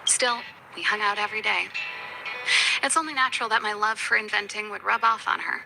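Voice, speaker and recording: An actress voicing a spirited, wistful woman inventor and musician with a teasing big-sister manner in a video game, her phrases sometimes soft and hushed. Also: mid-range voice, fairly quick, vocal fry, lightly acted, low-quality recording. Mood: reflective, nostalgic. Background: background music.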